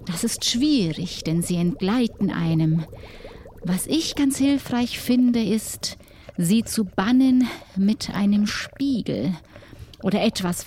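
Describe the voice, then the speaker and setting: A woman acts out a solitary, mysterious keeper of ghosts in a tabletop roleplay. She talks very slowly and deliberately in a soft, dark tone with formal, old-fashioned wording. Faint noise sits behind her. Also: medium pitch, lightly acted. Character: solitary mysterious hut-dwelling woman guarding pirate ghosts.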